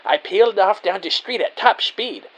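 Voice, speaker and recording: An actor playing an excitable, anxious amateur spy who narrates his own story in an audio drama. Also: high-pitched, moderate pace, slight background noise, low-quality recording. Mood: panicked narrating. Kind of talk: scripted first-person story narration. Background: music.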